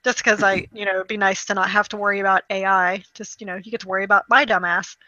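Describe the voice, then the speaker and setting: A woman chatting casually about video games, telling stories in an animated way with acted-out quotes and the odd swear word. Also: mid-range voice, fast talker, no echo, muffled recording. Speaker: podcast host.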